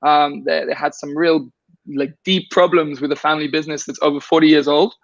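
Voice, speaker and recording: A man's voice: a little high, level tone, brisk, creaky, dry recording, lo-fi sound. A man guest in a casual conversation, rambling with many restarts and fillers.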